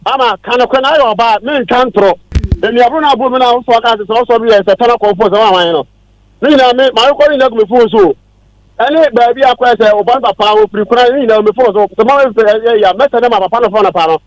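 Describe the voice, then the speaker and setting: A man's voice: very high voice, racing, poor audio. A Ghanaian-accented man calling in to a radio show, arguing his side insistently and repeating himself. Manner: insistent, repetitive. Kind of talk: heated argument.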